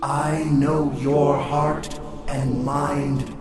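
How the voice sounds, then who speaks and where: Echoing, very slow angel played by a man. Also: a little high, level tone. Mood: solemn, foreboding. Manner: slow, solemn, portentous delivery. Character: solemn angel risen from snow, all-knowing. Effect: echo on the voice. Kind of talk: dramatised fantasy audio story. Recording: echoing, distant voice under loud music.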